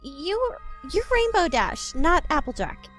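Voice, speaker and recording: A woman's voice: extremely high pitch, very animated, slow pace, fairly bright tone, heavily acted. A woman voicing an earnest young female cartoon pony in an audio drama. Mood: puzzled, concerned. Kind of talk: animated fan fiction audio drama acting. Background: music.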